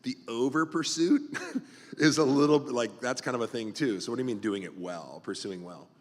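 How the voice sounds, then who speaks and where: Rambling fifty-something pastor hosting. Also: male voice, mid-range voice, moderate pace, big-room sound. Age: 50s. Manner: rambling, loose, lots of fillers. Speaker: pastor and podcast host. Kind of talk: casual podcast conversation.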